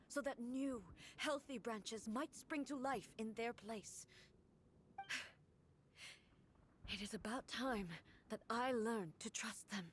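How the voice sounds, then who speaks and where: A stern, ageless female ruler, with a slightly raised voice. She speaks slowly and formally, weighing every word with gravity. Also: on the high side, fairly bright tone, slight background noise. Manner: formal, grave, measured. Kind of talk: game dialogue.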